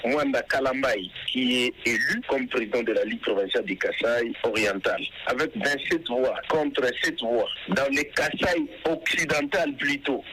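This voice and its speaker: A man's voice: mid-range voice, normal speed, hardly any pauses, a bit bright. A man with a Congolese accent speaking formally as an official interviewed by telephone for radio. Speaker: electoral commission official, interviewee. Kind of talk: formal phone interview reporting results. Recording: noisy telephone call to radio.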